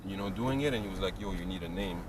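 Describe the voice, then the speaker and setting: A young man from Montreal chatting as a guest rapper in a casual interview, talking in a relaxed, rambling way with lots of fillers. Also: low-pitched, fast talker. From Montreal, Canada. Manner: laid-back, rambling, many fillers and restarts. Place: outdoors on a city street. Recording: distant mic, loud street noise.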